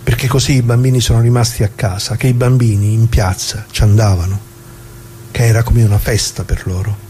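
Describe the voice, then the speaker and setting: An actor playing a dead retired working-class man, bitter and plain-spoken, addressing listeners in a halting, repetitive theatrical radio monologue. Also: fairly low pitch, steady tone, mellow, slight background noise, close to an everyday voice. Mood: grave, bitter. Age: older.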